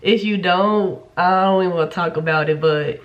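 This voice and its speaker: A woman's voice: lowish voice, normal speed, dull audio. Chatty twenty-something woman with a stuffy cold. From Kansas City, Kansas. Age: 20s. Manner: chatty and candid, casual swearing and frequent fillers. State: nasal from a recent cold. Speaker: vlogger. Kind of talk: casual get-to-know-me vlog.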